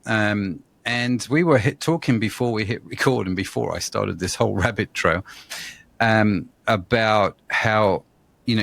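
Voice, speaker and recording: A man's voice: on the low side, fairly bright tone. A man in his fifties hosting a podcast, chatty and self-deprecating, wandering off on tangents. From England. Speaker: podcast host. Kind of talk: casual interview chat.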